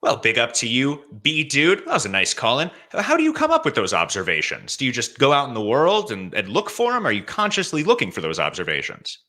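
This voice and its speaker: A man hosts a comedy podcast in a deadpan, mock-earnest manner, moving quickly from one voicemail to the next. His speech is brisk and lively, with a bright, forward tone. He sounds like a regular host introducing callers to his audience. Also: medium pitch. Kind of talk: casual comedy podcast chat.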